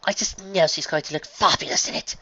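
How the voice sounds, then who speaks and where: A narrator voicing a refined, fussy young female unicorn dressmaker who speaks in a genteel, dramatic, gently chiding way. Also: medium pitch, steady tone, fairly quick, no room sound, dull audio, voice pushed up. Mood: fond, encouraging. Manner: genteel, dramatic, proper speech.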